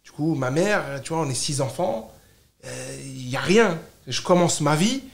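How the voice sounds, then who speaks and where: Higher-pitched man in an echoey room. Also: animated, unhurried, gravelly. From France. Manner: emphatic, repeats and piles up short phrases. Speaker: podcast guest, startup founder. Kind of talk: casual, animated conversation.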